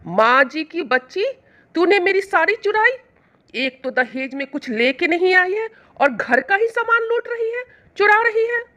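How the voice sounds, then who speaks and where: Woman playing a nagging cruel mother-in-law, middle-aged, very high voice, bright and forceful, storybook villain. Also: animated, measured pace, exaggerated voice. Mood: angry accusing. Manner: shrill, nagging, accusing. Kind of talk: Hindi moral storybook acting.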